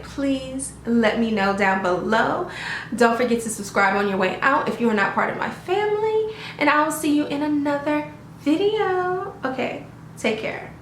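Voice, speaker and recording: A woman's voice: high voice, slight background noise. A woman casually reviewing a wig at home, chatty and upbeat, often talking straight to her viewers. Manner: chatty and upbeat, often addressing viewers as "you guys" and asking "okay?". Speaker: beauty vlogger reviewing a wig. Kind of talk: casual product review vlog. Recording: roomy echo.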